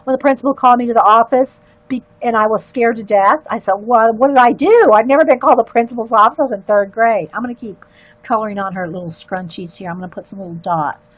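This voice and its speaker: An older woman with a loud, carrying voice chats to her viewers and keeps wandering off on side stories. Her voice sits a little high for a woman, and the sound is close and dry with no room around it. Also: a little fast, no echo, rough recording. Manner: rambling, chatty asides to viewers. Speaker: livestream artist. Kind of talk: casual chat while coloring.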